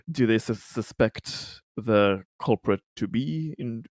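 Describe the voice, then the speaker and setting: A man with a lowish voice takes part in a tabletop roleplaying game. He casually describes his character's actions in halting phrases, with very little room sound around him. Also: lively intonation, a little mellow, no room sound, studio quality.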